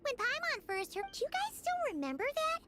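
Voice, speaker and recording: A tiny floating fairy girl with an extremely high, squeaky voice that bounces around excitably. She is a chirpy, childlike video game companion. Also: brisk, very noisy, heavily acted. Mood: curious. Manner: chirpy, refers to self in third person.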